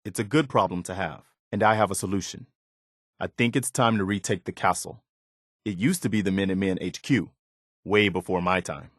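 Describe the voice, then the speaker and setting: A man voicing an earnest, loyal militia officer in a video game, sincere, measured and plain-spoken. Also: deep voice, dry recording, studio quality. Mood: earnest, reflective. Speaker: voice actor. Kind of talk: video game dialogue acting.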